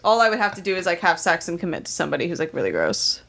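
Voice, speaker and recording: A woman's voice: fairly low pitch, very animated, very fast, vocal fry, a bit bright. A woman co-hosting a podcast in casual comedic banter, teasing, blunt and profane. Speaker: podcast co-host.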